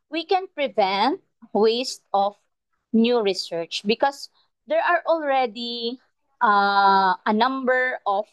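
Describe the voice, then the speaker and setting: A Filipino-accented woman, a native Tagalog speaker, teaching a research methods lesson in a deliberate lecture style, often pausing with "uh" and checking in with "okay?". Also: mid-range voice, no room sound, rough recording. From Philippines. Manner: slow, deliberate, frequent "okay?" check-ins and "uh" pauses. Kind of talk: recorded lecture to students.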